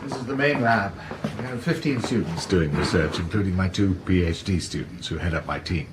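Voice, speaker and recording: An actor playing a careful, middle-aged university professor in a naturalistic radio drama, explaining things in a measured, slightly guarded way. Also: male voice, low-pitched, lively intonation, heavy background noise. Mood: formal. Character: careful, defensive male university professor running a lab.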